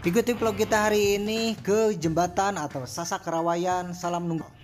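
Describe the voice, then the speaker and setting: Brief: male motovlogger, 40s, slightly high voice, relaxed chatty delivery, helmet-cam recording, loud music underneath. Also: normal speed. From Cianjur, West Java, Indonesia. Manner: relaxed, chatty, excited on steep climbs. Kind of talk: casual motorcycle vlog narration. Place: outdoors on a moving scooter. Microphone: helmet-mounted action camera.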